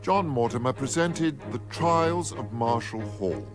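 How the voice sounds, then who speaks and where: A man's voice: mid-range voice, lively intonation, a little slow. A man reading a scripted radio credits announcement, with music behind him. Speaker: continuity announcer.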